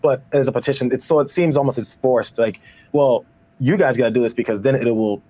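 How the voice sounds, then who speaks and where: A man's voice: medium pitch, brisk, warm tone. A man co-hosting a panel discussion, talking in a loose, conversational way. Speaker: co-host. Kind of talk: panel commentary.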